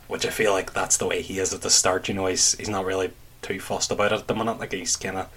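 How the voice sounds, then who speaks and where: Low, husky-voiced man chatting tentatively. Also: level tone, fast, fairly bright tone. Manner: tentative, hesitant phrasing. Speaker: podcast panellist. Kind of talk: casual book discussion.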